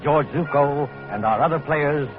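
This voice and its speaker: A man narrating as host of a 1940s suspense radio show in a deliberate, ominous, scripted style. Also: fairly high pitch, music in the background. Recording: muffled old radio recording.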